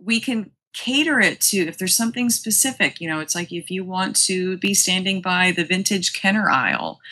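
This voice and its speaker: A woman's voice: fairly low pitch, animated, moderate pace, pushed and bright. A woman speaking casually and effusively as an interview guest, with plenty of enthusiasm for her work. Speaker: guest, museum publicity director.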